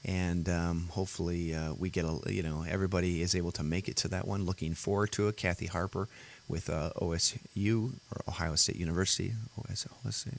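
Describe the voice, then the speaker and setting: A man hosting his own show in casual solo chat, his voice gravelly and worn today, rambling with fillers and restarts. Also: sits low, steady tone, a little fast, a little hiss or hum. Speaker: podcast host. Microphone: computer audio setup.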